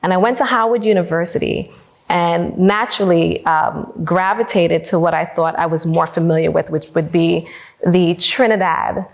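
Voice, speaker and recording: A woman, telling a personal story on a conference panel with measured pauses. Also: fairly low pitch, steady tone, creaky. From New York, USA. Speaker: panelist, diversity executive.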